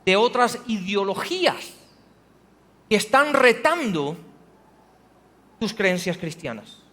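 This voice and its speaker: Male pastor, very high voice, preaching, rhetorical questions, long church reverb. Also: very animated, takes it slowly, a bit bright, slight background noise. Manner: preaching with rhetorical questions, rising to emphatic passages. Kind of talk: sermon. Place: church hall.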